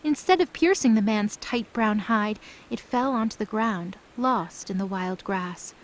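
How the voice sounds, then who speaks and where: A woman's voice: mid-range voice, unhurried, low-quality recording. A woman reading scripted story narration as the narrator of a mythology audio drama. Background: music.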